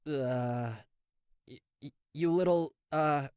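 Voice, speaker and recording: A man's voice: fairly high pitch, takes it slowly, darkish tone, smooth, dry recording, studio quality. A man playing a cocky, easily flustered king of hell in an ASMR roleplay, sarcastic, indignant and theatrical, speaking to the listener. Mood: offended, pompous.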